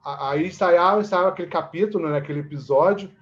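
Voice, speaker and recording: Brazilian male interviewee, fairly high voice, explanatory and conversational, lo-fi sound. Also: fast talker, a bit bright. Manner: explanatory, repeatedly seeking agreement with tag questions. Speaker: interviewee, actor and theatre teacher. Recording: dull, lo-fi audio.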